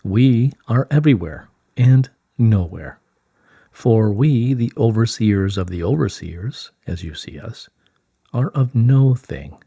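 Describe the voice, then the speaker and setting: Serene deep-voiced light being, read by a man. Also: animated, gravelly, dark tone, breathy edge, no room sound, exaggerated voice. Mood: serene, explanatory. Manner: measured, stately, archaic formal phrasing. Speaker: reader of channelled messages. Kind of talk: formal, scripted channelled-message reading.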